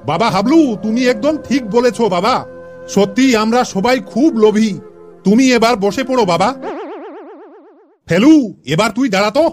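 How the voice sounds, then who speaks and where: A man's voice: extremely high pitch, moderate pace, pauses often, soft background music. A man voicing a schoolteacher in a comedy cartoon, quizzing and ordering pupils in a familiar, commanding way. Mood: approving. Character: male schoolteacher quizzing his pupils, stern but approving. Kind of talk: Bengali comedy cartoon voice acting.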